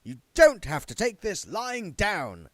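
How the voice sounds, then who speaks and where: A man's voice: high voice, wildly swooping pitch, slow, no room sound, voice pushed up, full character voice. A man voicing a cynical, self-satisfied undead male activist in a book read aloud. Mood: proud.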